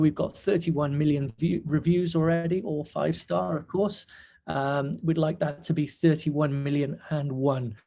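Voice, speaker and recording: Hoarse man hosting a webinar. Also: fairly high pitch, mellow. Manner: halting, many fillers and restarts. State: hoarse, nearly losing his voice. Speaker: webinar host. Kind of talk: casual webinar talk. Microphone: webcam video call.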